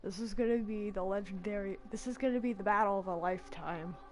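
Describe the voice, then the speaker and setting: A woman's voice: mid-range voice, fast, noisy background. A woman giving casual live commentary as she streams a video game. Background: game music.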